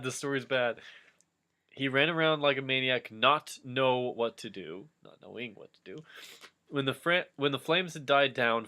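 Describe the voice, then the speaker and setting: A man's voice: medium pitch, sharp tone. A young adult man reading a story casually for comedy, breaking in with wry asides. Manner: wry, interjecting. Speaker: co-reader and wisecracking commentator.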